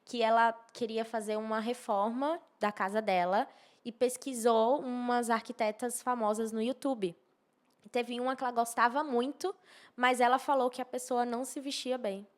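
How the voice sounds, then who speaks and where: A fairly high-pitched woman speaks. She explains her points in a conversational podcast style, stressing and repeating key phrases as she goes. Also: steady tone, moderate pace. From Maceió, northeastern Brazil. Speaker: podcast guest, architecture and social media professional.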